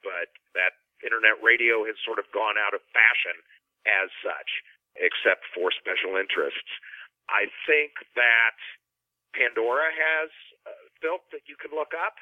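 A man's voice: medium pitch, no echo. Man on a phone-like line, explaining. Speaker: panel guest, musician. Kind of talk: conversational explanation. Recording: dry, narrow telephone-like line.

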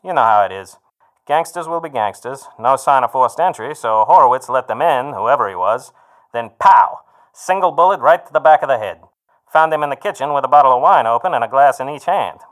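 A man's voice: medium pitch, animated, fairly quick, a bit bright, lightly acted. An actor playing a chummy, wry federal agent in a 1930s noir audio drama, with slangy, plainspoken lawman patter. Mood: wry, friendly. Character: gruff, chummy male federal agent, wry and matter-of-fact.

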